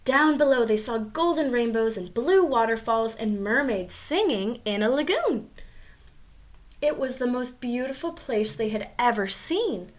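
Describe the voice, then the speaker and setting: A woman's voice: fairly high pitch, expressive, a little slow. A woman reading a storybook aloud to young children, expressively, with little asides about the pictures. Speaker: storybook reader.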